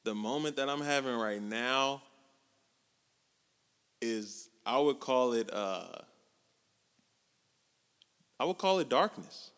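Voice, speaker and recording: A man in his twenties co-hosting a podcast, talking casually and reflectively in relaxed slang. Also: medium pitch, unhurried, smooth. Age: 20s. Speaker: podcast co-host. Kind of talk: casual chat. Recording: long hall reverb.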